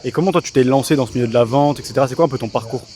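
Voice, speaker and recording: A man's voice: medium pitch, racing, a little mellow. A young man conducting a casual interview with informal, slangy phrasing.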